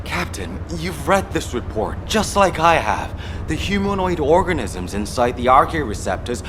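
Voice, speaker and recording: A man's voice: on the high side, big pitch swings, measured pace. A man playing a worried military subordinate in a video game drama, earnest and imploring in manner. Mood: urgent, reasoning. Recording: clear background noise.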